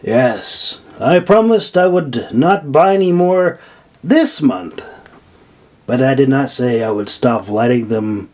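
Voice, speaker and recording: Guy reading as a stoic stubborn cleric man, confident, insistent, unhurried storybook voice. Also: mid-range voice, expressive. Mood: unapologetic.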